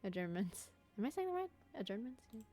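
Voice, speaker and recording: A woman's voice: a little high, very animated, quick pace, music in the background. A woman streaming a story game with casual, slangy, exclamatory commentary, sometimes reading prompts aloud.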